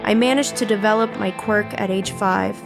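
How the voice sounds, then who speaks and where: A woman's voice: medium pitch, steady tone, normal speed, vocal fry, close to an everyday voice. A woman voicing a bitter young female villain recruit, narrating her painful past in a scripted comic dub. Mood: somber, hurt. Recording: music under the voice. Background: background music.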